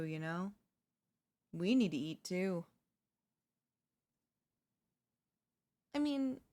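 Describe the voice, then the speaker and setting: A woman's voice: medium pitch, lightly acted. A woman voicing a chatty female zombie who cheerfully and conversationally coaxes in a comedic audio roleplay. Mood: resigned, persuasive. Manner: conversational, coaxing, wheedling. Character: chatty, cheerfully persuasive female zombie craving human flesh.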